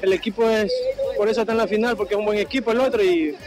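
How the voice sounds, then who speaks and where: A man's voice: high voice, fairly even intonation, rapid-fire, crowd noise. A man speaking as a football player in an outdoor post-match interview. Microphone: handheld phone.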